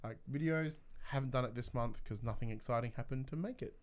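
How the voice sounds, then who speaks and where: A man's voice: medium pitch, a little fast. A man hosting a casual livestreamed film chat, rambling informally and often restarting his sentences. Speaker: podcast host.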